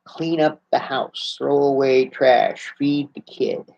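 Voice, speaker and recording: A man in his fifties from the United States, chatting casually on a home livestream, relaxed and halting with frequent restarts, seated close to a webcam. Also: medium pitch, creaky. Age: 50s. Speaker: livestream host answering viewer questions. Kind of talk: casual live Q&A chat. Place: small room at home, seated in armchair indoors. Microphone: webcam at close range. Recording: slightly dull lo-fi webcam audio.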